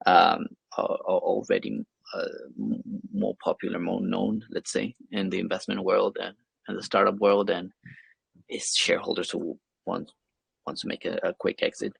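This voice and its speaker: A man's voice: deep voice, steady tone, moderate pace, creaky, breathy edge, no echo. A man from Venezuela, a guest explaining his work in a webinar interview, hesitant with many fillers and restarts. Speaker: guest, investment platform associate.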